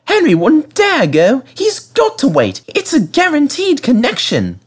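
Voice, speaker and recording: High-pitched feisty refreshment lady. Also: huge pitch swings, unhurried, exaggerated voice. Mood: amused, teasing. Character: feisty, demanding woman selling train refreshments.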